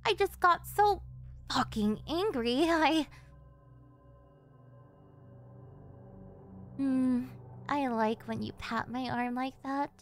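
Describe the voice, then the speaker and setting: A high-pitched yandere delinquent girlfriend, acted by a woman for an ASMR audio roleplay. She is clingy and doting, pleading sweetly with a possessive, threatening edge. Music plays behind her voice. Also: slow pace. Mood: sheepish, coaxing. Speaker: voice actress.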